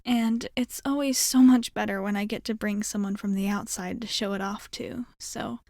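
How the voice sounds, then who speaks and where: A woman playing a friendly, optimistic alien pilot in a soft-spoken ASMR roleplay, reassuring the listener in a hesitant, apologetic way. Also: medium pitch, steady tone, moderate pace, vocal fry, no echo, clean studio sound, lightly acted. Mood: gentle, encouraging.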